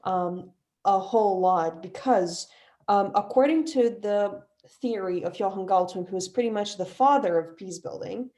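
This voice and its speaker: A woman's voice: medium pitch, moderate pace, mellow. Earnest woman in her twenties, from Bosnia. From Bosnia and Herzegovina. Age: 20s. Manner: earnest, fluent with fillers. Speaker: panelist, facilitator. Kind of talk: online panel talk.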